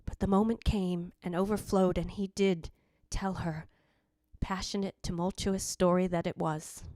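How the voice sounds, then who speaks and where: A woman's voice: fairly low pitch, fairly even intonation, a little slow, mellow, no room sound, clean studio sound, close to an everyday voice. A woman playing the jealous ghost of a dead wife, narrating in a theatrical, literary, anguished way in old-time radio style. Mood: bitter, stunned.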